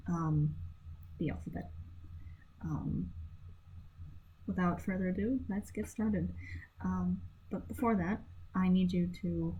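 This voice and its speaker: Nervous woman, mellow. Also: lowish voice, slightly rough, low-quality recording. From French Canada. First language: French. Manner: hesitant, many ums, giggly. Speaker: first-time YouTube French teacher. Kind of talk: casual beginner tutorial.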